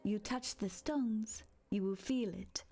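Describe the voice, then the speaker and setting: A solemn spirit woman of a tribe, talks slowly and cryptically. Her voice sits at a medium pitch, with faint music behind her. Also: dark tone. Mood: solemn, mystical. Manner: measured, cryptic. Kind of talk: video game character dialogue.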